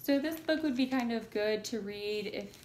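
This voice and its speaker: A woman reads a children's book aloud with expressive emphasis. Her voice sits a little high. The recording is roomy and echoing, with faint noise behind her. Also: moderate pace. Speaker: read-aloud reader addressing listeners. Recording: echoey room, faint background noise.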